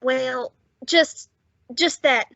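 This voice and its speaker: A woman playing a weary, wounded young male fugitive who talks haltingly in an old-time radio drama. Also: high voice, vocal fry, a bit bright, no echo, full character voice. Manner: halting, unsure. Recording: dull, dry lo-fi audio.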